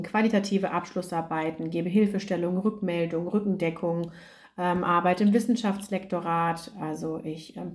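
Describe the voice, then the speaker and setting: A woman hosting a solo podcast as an academic writing coach, chatting casually with many fillers, her throat slightly hoarse. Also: fairly low pitch, darkish tone.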